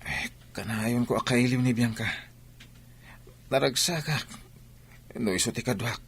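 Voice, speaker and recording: A man's voice: medium pitch, a little slow, fairly bright tone, slightly rough. A man playing a smitten, naive young student in an Ilocano radio drama. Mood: lovestruck.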